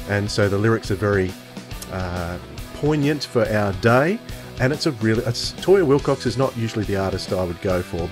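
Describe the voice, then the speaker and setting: A middle-aged man co-hosting a podcast, chatting casually and jokily as he gives recommendations into a close microphone. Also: on the low side. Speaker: podcast host. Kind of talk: casual chat, giving recommendations. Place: indoors on a video call. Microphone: large close microphone with headset.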